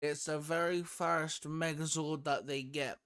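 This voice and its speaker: A man with a slightly high-pitched voice hosts a casual chat video. He speaks emphatically and with enthusiasm, like someone walking viewers through a favourites list. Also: fairly even intonation, measured pace, a bit bright, clean tone. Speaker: video host. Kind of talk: casual chat about a top six list.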